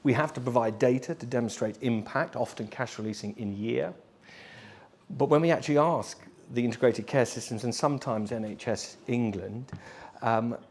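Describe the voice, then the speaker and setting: Measured man speaking on a panel. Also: medium pitch, darkish tone. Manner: measured, hesitant, with fillers. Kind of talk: panel discussion answer.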